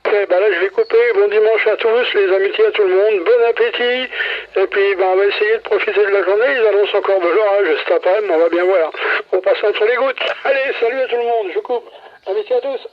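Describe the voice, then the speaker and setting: A man's voice: high voice, brisk. An older man chatting casually as a CB radio operator. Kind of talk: casual chat. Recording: muffled CB radio transmission.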